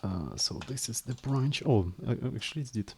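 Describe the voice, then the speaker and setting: A man's voice: medium pitch, animated, normal speed, mellow, slight background noise. A man live-streaming his coding, speaking English as a second language in a hesitant, apologetic way with frequent restarts. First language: not English. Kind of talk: casual live stream chat, explaining code.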